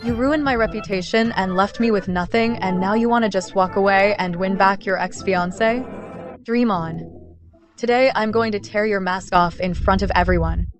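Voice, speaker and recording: Actress doing a bitter spurned young rival, medium pitch, taunting and accusing, music clearly behind, soap drama. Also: female voice, moderate pace, natural voice. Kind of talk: dubbed melodrama dialogue. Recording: music bed under voice.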